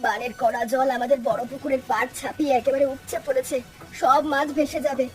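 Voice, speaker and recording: A woman's voice: sits high, steady tone, fairly quick, distant mic, soft background music, natural voice. A woman voicing an urgent, commanding village mother of a grown son in a cartoon. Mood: urgent, alarmed. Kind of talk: Bengali cartoon dialogue.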